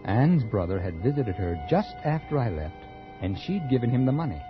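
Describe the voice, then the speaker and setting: An American actor playing a smooth, calculating murderer in a 1940s radio suspense drama, confiding and deliberate with studied nonchalance. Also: male voice, mid-range voice, big pitch swings, close to an everyday voice. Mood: smug, relaxed. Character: calculating male murderer, smooth and feigning casual ease. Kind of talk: 1940s radio acting, first-person suspense narration. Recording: dull 1940s radio recording with music.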